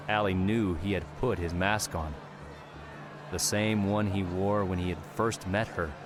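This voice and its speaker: Man, deep voice, measured audiobook narration, music behind. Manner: measured, steady reading. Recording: narration over background music.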